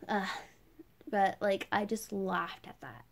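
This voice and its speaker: A woman's voice: mid-range voice, takes it slowly, vocal fry. A young woman vlogging in a casual, chatty way, rambling through a personal rant with lots of "like" as a filler. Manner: chatty, rambling, heavy use of "like".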